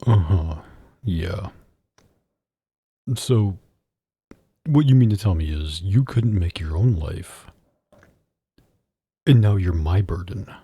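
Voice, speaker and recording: Male actor as menacing mafia boss, slow commanding delivery, dark mellow husky voice, sardonic, dry close-mic ASMR roleplay. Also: animated, dry recording, full character voice. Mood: sarcastic, exasperated. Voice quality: deep, husky. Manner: deliberate, commanding, sarcastic. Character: domineering, sardonic mafia boss, adult man, coldly menacing. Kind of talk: intimate ASMR roleplay acting. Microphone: close studio microphone.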